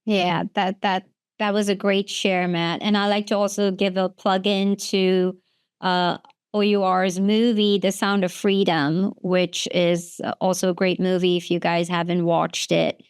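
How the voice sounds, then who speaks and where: A woman co-hosting a podcast over a video call, speaking hesitantly with frequent pauses. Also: on the low side, little pitch movement, clear, resonant tone, dry recording, studio quality. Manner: hesitant, many ums and uhs. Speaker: podcast co-host.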